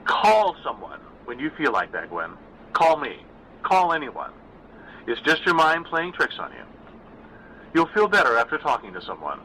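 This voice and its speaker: A man voicing a poised middle-aged mother who speaks with controlled, slightly too loud concern. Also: mid-range voice, huge pitch swings, normal speed, slight background noise, voice pushed up, exaggerated voice, rough recording.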